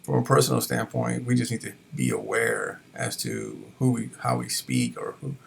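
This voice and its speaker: Gravelly low-voiced man, hesitant. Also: sing-song, moderate pace, slight background noise. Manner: hesitant, many fillers and restarts. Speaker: college faculty member. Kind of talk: reflective personal talk.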